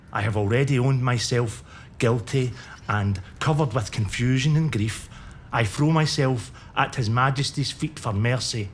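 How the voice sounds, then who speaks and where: Here a man acts out a middle-aged earl facing execution, speaking in a measured, formal and earnest way. His voice carries the long reverb of a large hall, with a faint hiss underneath. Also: medium pitch, lightly acted. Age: 40s. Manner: earnest, formal courtroom pleading. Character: condemned Scottish earl, middle-aged, remorseful and pleading.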